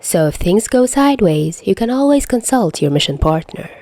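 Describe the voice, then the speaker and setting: A woman's voice: medium pitch, very animated, dark tone, no room sound. Woman voicing a stern, composed female superior. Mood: firm, encouraging. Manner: firm, deliberate. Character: stern, composed female superior giving orders to her subordinate.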